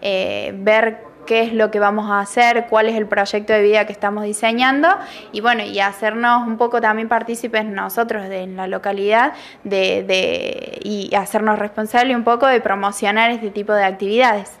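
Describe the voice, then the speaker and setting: A woman's voice: medium pitch, flat delivery, moderate pace. A young woman, a youth program coordinator, answering a news interview in an earnest way with many fillers and restarts, into a handheld microphone in an office room. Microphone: handheld reporter microphone.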